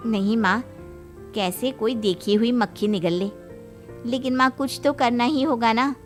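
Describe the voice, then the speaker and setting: High-pitched, persuasive young aunt, acted by a woman. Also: measured pace, faint music behind, lightly acted. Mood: concerned. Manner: teasing, coaxing. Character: cheeky, persuasive young sister-in-law and aunt in family comedy.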